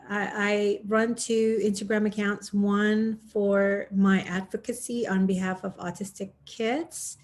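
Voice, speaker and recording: Slow hesitant woman on a video call, lots of ums, from indonesia. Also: medium pitch, darkish tone. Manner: hesitant, many fillers and self-corrections. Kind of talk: round table introduction. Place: dining room at home.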